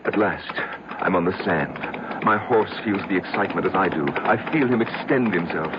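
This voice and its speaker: Actor as deep-voiced brooding obsessed recluse. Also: male voice, noisy background, close to an everyday voice. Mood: rapt longing. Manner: brooding, intense, halting, dramatic. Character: bitter, obsessed reclusive man confessing to his doctor. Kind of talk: old-time radio drama monologue. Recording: dull old radio broadcast, loud music behind.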